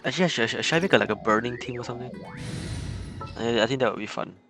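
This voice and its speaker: A man streams a game and chats casually with his viewers. His voice sits at a middling pitch, halting as he thinks aloud, with faint music underneath. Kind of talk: casual live-stream chat while gaming.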